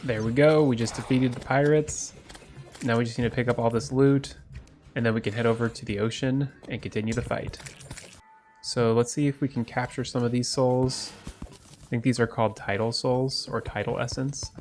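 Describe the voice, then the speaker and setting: Stuffy-nosed male gaming host, cheerful let's play commentary, mid pitch, faint music bed. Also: moderate pace. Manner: upbeat, excited at loot. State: congested from a cold. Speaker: gaming YouTuber.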